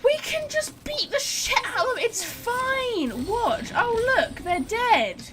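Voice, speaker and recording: A woman's voice: very high-pitched, sing-song, takes it slowly. A woman doing casual let's-play commentary as a gaming streamer, blunt, sweary and joking.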